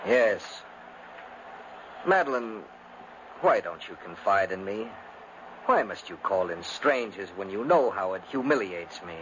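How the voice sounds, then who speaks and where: An actor playing a cruel, obsessive aristocratic brother in a 1950s radio drama, insistent and theatrical. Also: male voice, on the low side, lively intonation, measured pace, pauses often, fairly bright tone. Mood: wounded, demanding. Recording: muffled, noisy 1950s radio recording.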